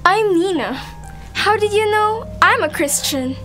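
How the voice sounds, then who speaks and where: A woman's voice: extremely high pitch, lively intonation, a little slow, fairly bright tone, soft background music, heavily acted. A woman playing a frightened, newly jailed young woman, anxious and pleading, in an amateur radio drama.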